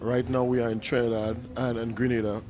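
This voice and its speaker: A man's voice: medium pitch, level tone, brisk, warm tone. A man hosting an interview show, asking enthusiastic, rambling questions full of fillers. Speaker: interview host.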